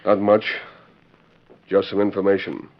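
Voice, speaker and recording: A very low-voiced western gang boss, cocksure and menacing in a folksy way. The sound is a muffled 1940s radio drama. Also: male voice, flat delivery, unhurried. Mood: smug.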